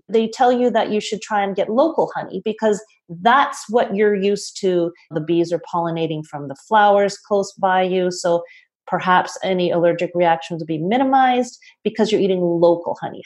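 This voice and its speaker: A woman from Toronto, a health practitioner, gives measured explanations and is careful to call her ideas observations. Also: lowish voice, a little mellow. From Toronto, Canada. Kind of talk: explanatory interview answers.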